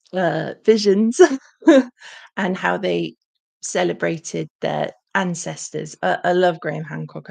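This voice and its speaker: Croaky woman chatting on a livestream. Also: lowish voice. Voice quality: croaky, gravelly. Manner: chatty, warm thanks, frequent ums. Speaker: channel host. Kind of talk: casual livestream Q&A chat.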